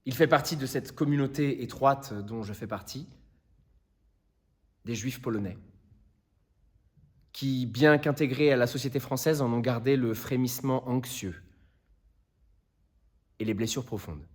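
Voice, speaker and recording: A man playing an earnest, impassioned defence lawyer, delivering a solemn theatrical plea to a jury in deliberate rhetorical phrases. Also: a little high, animated. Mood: grave, hushed pleading. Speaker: actor performing a monologue. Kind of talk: theatrical courtroom closing speech.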